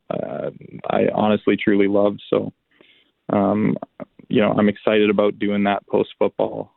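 A young adult man, a football player, answering interview questions by phone in a relaxed, halting way with many restarts and fillers. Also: on the low side, creaky, no room sound. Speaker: pro football player, guest. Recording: tinny phone line.

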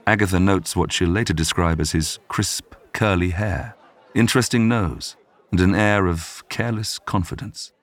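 A man narrating a history podcast from a script in a measured storytelling manner. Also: deep voice, dry recording. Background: music.

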